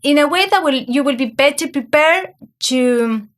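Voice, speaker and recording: A woman's voice: sits high, animated, normal speed. A woman from Argentina, a native Spanish speaker, speaking accented English as a podcast guest in a conversational interview. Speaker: podcast guest, academic coach.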